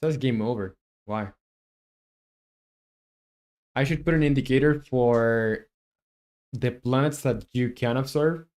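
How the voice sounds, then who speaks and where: Man, mid-pitched voice, indie developer streaming his coding, thinking aloud, casual. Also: takes it slowly, long pauses, darkish tone. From Chile. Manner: muses aloud while coding, chats with viewers.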